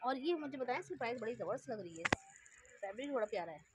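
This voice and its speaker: A woman's voice: fairly high pitch, lively intonation, quick pace, a little mellow, slight background noise. A woman hosting a shopping vlog inside a clothing shop, enthusiastically praising the clothes as she goes, recorded on a handheld phone camera. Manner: enthusiastic, keeps praising items. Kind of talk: casual shop tour presenting.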